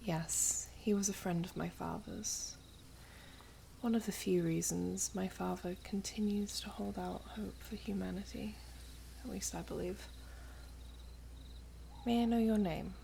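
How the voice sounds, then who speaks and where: Woman acting as elven princess, breathy whisper, low-leaning fry, wistful, formal phrasing, ASMR roleplay, faint noise behind. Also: fairly even intonation. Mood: soft, tender. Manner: slow, hushed, formal storybook phrasing. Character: gentle, wistful elven princess, guarded yet tender. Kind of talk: ASMR roleplay, soft whispery close speech.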